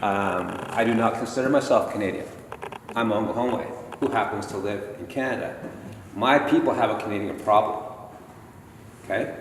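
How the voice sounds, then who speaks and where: A man giving an informal lecture to a gathering, talking slowly and deliberately and asking the audience questions. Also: lowish voice. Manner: slow, deliberate, conversational. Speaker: guest speaker at a gala. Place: large hall. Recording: echoey hall from far off, faint noise.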